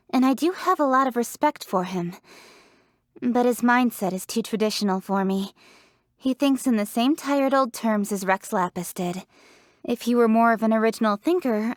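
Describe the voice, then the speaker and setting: A woman's voice: fairly high pitch, no room sound, clean studio sound, close to an everyday voice. An actress playing a confident, proud young woman leader in a fantasy video game, speaking in an articulate, earnest, self-assured way. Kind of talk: scripted video game character voice lines.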